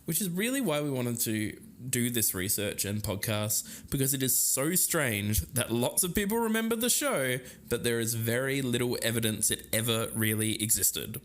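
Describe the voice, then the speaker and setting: A man's voice: mid-range voice, expressive, moderate pace, hardly any pauses. A man co-hosting a podcast, moving between semi-scripted narration and conversational banter. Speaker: podcast co-host.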